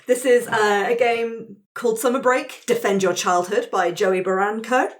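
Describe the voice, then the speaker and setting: A woman's voice: fairly high pitch, fairly even intonation, normal speed. Woman leading a tabletop game. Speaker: game facilitator and player. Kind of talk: explaining a tabletop game to friends.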